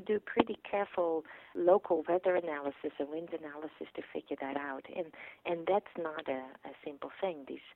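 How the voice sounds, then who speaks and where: A woman's voice: low voice, gravelly. A woman from the Netherlands, a professor explaining engineering in an interview, thoughtful and explanatory, often restarting her sentences. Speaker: professor, guest expert. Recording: tinny phone line.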